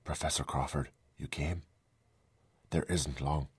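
A man's voice: very deep voice, steady tone, unhurried, slightly rough, dry recording, exaggerated voice. A man voicing a young woman who speaks in an earnest, hesitant and wistful way, reading her lines in a storybook.

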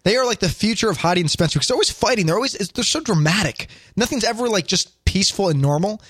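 Quick, excitable man co-host. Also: high voice, breathy edge, no echo, clean studio sound. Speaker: podcast co-host. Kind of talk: casual chat.

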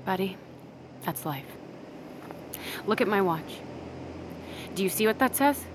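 An actress playing a tough, foul-mouthed medic in an audio drama, blunt and commanding. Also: female voice, mid-range voice, animated, unhurried, noisy background, natural voice. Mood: grimly determined. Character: tough, foul-mouthed female medic keeping a friend awake.

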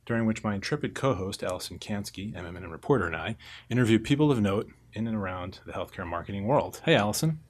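Low-pitched male podcast host. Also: expressive, fast. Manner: conversational, frequent "uh" and "um" fillers. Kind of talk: podcast hosting and interviewing. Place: podcast studio.

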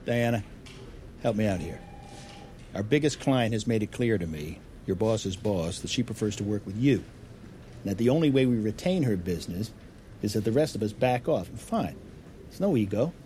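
A man's voice: on the low side, expressive, moderate pace, mellow, a little hiss or hum, lightly acted. An actor playing a smug, manipulative male boss in an audio drama, talking in a glib, domineering way. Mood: pressuring. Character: Alan, smug, pressuring senior male boss. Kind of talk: naturalistic audio drama acting.

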